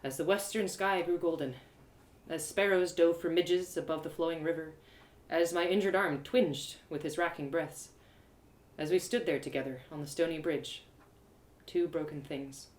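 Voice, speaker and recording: A woman's voice: sits low, moderate pace. A woman narrating a story expressively in the first person, as a live storyteller. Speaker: storyteller and host of live readings. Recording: roomy, echoey live reading.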